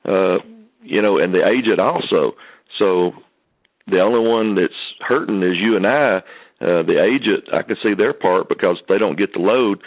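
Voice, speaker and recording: A man with a low voice calls in over a thin, muffled phone connection. He is a truck driver, speaking off the cuff in an earnest, polite way and tending to ramble. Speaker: truck driver calling in to a company conference call. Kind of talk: unscripted questions on a conference call. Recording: muffled telephone conference line.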